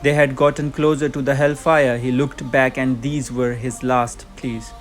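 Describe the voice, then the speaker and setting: A man's voice: mid-range voice, little pitch movement, a little slow, soft background music. A man narrating a scripted moral story in an earnest, emphatic and dramatic way.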